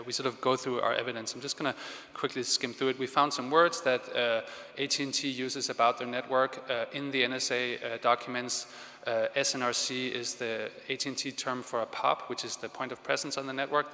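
Man, 30s, conference speaker, bright tone, halting with fillers, long hall reverb. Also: mid-range voice. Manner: rambling, many fillers and restarts. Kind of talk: conference talk. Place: large hall, on stage. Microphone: stage microphone.

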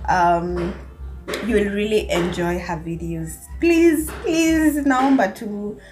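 A young woman with a Kenyan accent chats casually to her camera at an unhurried pace. Her voice sits at a medium pitch, playful and chatty. She records at arm's length in a small apartment, with music behind her. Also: animated. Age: 20s. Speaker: lifestyle vlogger. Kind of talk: casual vlog chat, mixing English with Swahili. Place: small tiled studio apartment. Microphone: phone or camera at arm's length.

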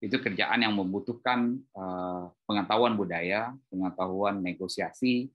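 A middle-aged man giving an informal, animated explanatory talk on a video call, telling stories and acting out dialogue. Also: on the low side, measured pace, muffled recording. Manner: animated storytelling, acts out dialogue. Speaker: speaker at an export training webinar. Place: indoor room on a group video call.

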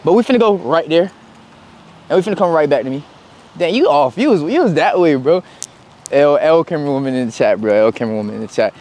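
A young man, chatting casually to viewers in a slangy, relaxed way as he walks through a store and a parking lot. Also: a little high, fast talker. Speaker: vlogger. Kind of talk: casual vlog chat. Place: outdoors in parking lots and inside a big store.

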